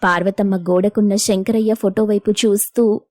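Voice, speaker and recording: A woman's voice: medium pitch, steady tone, normal speed, a little mellow, no echo, clean studio sound. A woman reading scripted narration for a moral story as its narrator.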